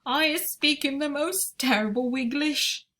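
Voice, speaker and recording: Woman putting on a big kindly old giant, slow and booming, jumbled grammar, storybook voice. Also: fairly bright tone. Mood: earnest. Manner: slow, booming, mangled words.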